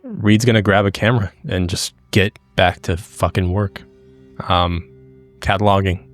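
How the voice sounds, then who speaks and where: A man improvising in character in a tabletop game, with a blunt, sweary and halting casual delivery. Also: low-pitched, steady tone. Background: background music.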